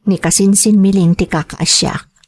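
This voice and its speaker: A woman's voice: medium pitch, fairly even intonation, moderate pace, darkish tone, natural voice. A woman playing a caring, worried village mother and wife in an Ilocano radio drama, speaking expressively and with sympathy. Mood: worried, pitying. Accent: Filipino, Ilocano. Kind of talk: radio drama acting with narration.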